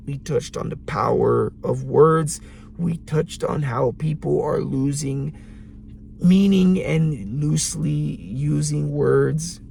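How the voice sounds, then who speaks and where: A man with a raspy voice giving a slow, deliberate late-night monologue in a parked car, pausing for emphasis. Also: fairly high pitch, dark tone, slight background noise. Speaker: vlogger.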